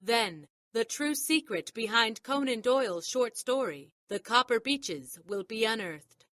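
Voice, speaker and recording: A woman's voice: on the high side, animated, a little slow, a bit bright, no room sound, clean studio sound. A woman announcer reading scripted introductory narration.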